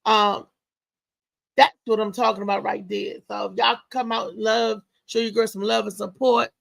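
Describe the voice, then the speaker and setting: A woman hosting a casual live show as a comedian, talking in a loud, lively, chatty way with lots of exclamations. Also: mid-range voice, normal speed, creaky. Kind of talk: casual live-stream chat and promotion.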